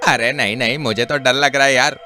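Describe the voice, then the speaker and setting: A man acts a short, jumpy young fellow from the city in a cartoon horror comedy. His mid-pitched voice is cheeky and joking, set over clear background music. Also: fairly even intonation, brisk, pushed and bright. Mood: uneasy. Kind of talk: animated Hindi horror-comedy story acting.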